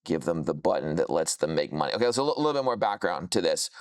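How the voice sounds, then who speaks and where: A man with a smooth, fairly low voice presents a software product informally. The sound is dry, with little room in it. He explains in a casual way, leaning on technical jargon. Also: very animated, fast talker, dry recording.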